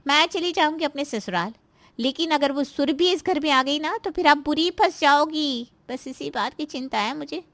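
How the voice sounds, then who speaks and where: A scheming married daughter from a cartoon family drama, acted by a woman, pitched fairly high. She nags, complains and insinuates with open spite. The sound is close and dry, with no room around it. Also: moderate pace, fairly bright tone, no echo. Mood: insinuating, warning. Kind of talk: Hindi moral-story cartoon voice acting.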